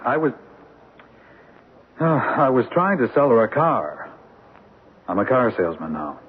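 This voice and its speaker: An actor playing an impulsive, down-on-his-luck car salesman accused of murder, halting and self-deprecating in 1970s radio drama style. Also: medium pitch, big pitch swings, breathy edge. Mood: rueful, defensive. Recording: muffled, noisy 1970s radio broadcast recording.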